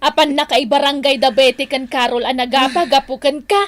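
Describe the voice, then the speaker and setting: A woman's voice: high voice, fairly even intonation, normal speed, lightly acted. An actress playing a fond older village mother in an Ilocano radio drama, chiding and matter-of-fact. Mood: amused, chiding.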